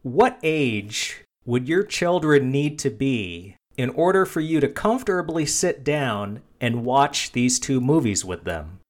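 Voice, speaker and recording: Male podcast host, mid-pitched voice, casual and teasing, chats about films with joking asides. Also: very animated, slow pace, few pauses. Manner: jokey, teasing. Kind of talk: casual film discussion.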